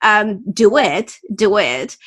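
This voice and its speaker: A woman's voice: medium pitch, animated, slow, fairly bright tone. A woman interviewing a guest in casual chat, speaking English as her second language, encouraging and full of fillers and restarts. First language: not English.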